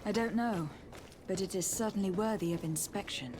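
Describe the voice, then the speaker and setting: A woman's voice: medium pitch, moderate pace, background noise, lightly acted. An actress playing a poised young noblewoman diplomat in a fantasy video game, speaking formally, earnestly and politely. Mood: composed.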